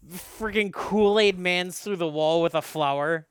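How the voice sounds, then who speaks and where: A man's voice: high-pitched, unhurried, a bit bright, smooth, no room sound, clean studio sound. A man chatting casually as host of a game stream, in a rambling, jokey way.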